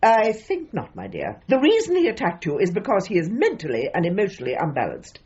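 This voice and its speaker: An actress playing an opinionated older British dog trainer, a widow who speaks formally and precisely in a radio comedy drama. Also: female voice, on the low side, wildly swooping pitch, fairly quick, darkish tone, husky, lo-fi sound, heavily acted. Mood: insistent. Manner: formal, emphatic, precise, old-fashioned phrasing.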